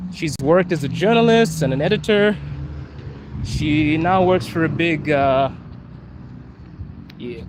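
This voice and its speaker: A man from Zimbabwe chatting casually on a livestream, answering viewers' questions in a relaxed way. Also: a little high. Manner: relaxed, chatty, says bro and dude. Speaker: vlogger answering live chat. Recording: street noise with passing cars.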